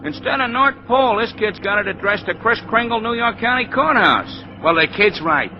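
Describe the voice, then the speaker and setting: An actor playing a brash, slangy working-class postal worker in a 1940s radio drama. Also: male voice, sits high, expressive, moderate pace. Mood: cheerful, amused. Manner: slangy, eager, wisecracking. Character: brash, excitable working-class male New York mail sorter. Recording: dull vintage 1940s radio recording.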